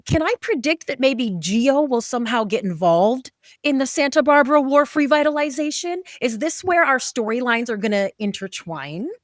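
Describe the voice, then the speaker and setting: A woman's voice: high-pitched, lively intonation, moderate pace, no echo. A woman hosting a podcast, talking in a conversational interview style as she recaps a show. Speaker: podcast host.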